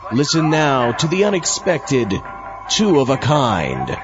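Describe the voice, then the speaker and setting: Music plays clearly behind a man on a slightly dull recording. He is a host giving a scripted dramatic introduction, his mid-pitched voice very lively. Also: takes it slowly. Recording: dull sound with music bed.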